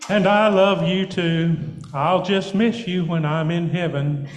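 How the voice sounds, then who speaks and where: A man's voice: sits high, fairly even intonation, takes it slowly. A man voicing a sanctimonious, smug skunk reverend puppet with a pious, condescending manner in a children's show. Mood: smugly pious. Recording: echoey church hall.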